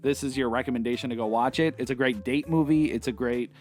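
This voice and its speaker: A man's voice: mid-range voice, fast, soft background music. A man co-hosting a movie review in a casual, enthusiastic, informal chat style. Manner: excitable, informal. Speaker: co-host of a movie review show. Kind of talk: casual enthusiastic film discussion.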